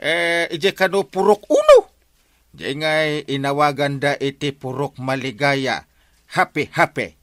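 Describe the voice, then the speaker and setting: An actor playing a slow-witted, jovial village captain in a comedy radio drama, hesitant and bumbling, with a northern Philippine Ilocano accent. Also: male voice, a little high, very animated, a bit bright, dry recording, clean studio sound, exaggerated voice.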